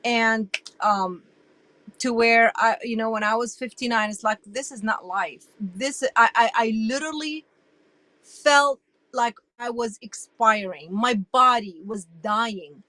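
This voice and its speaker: Iraqi-born woman, 60s, interview guest, bright tense voice, talks with a lot of emphasis. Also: fairly high pitch, a little slow, dry recording. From Iraq. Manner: animated and emphatic, with frequent restarts. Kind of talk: casual interview storytelling.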